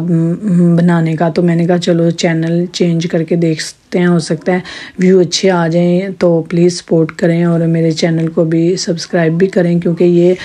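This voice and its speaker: Hoarse woman vlogging, low flat voice. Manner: chatty, rambling with many restarts. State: hoarse, sore throat. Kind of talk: casual home vlog narration. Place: at home.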